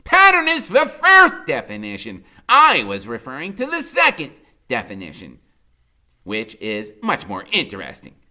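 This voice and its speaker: High-pitched, pompous boy genius. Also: wildly swooping pitch, a little slow, bright, forceful tone, heavily acted. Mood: exasperated. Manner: pompous, exclamatory, impatient. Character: bossy, bookish, hyperactive, theatrical genius boy. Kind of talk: children's novel character reading.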